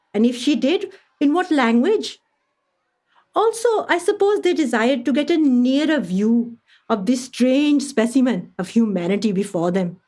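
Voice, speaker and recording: A refined Indian lady traveller of the early 1900s, recounts her journeys as a radio monologue. Her fairly high voice rises and falls expressively, with formal, literary phrasing and an amused temper. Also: a little mellow. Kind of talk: radio play monologue, storytelling.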